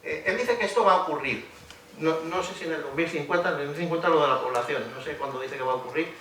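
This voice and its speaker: A middle-aged man lectures in a conversational, ironic way, stumbling and restarting as he goes. His voice is fairly high and bright. He sounds roomy and distant, picked up from across a lecture hall with faint background noise. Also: quick pace. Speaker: university linguistics professor giving a lecture. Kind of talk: public lecture.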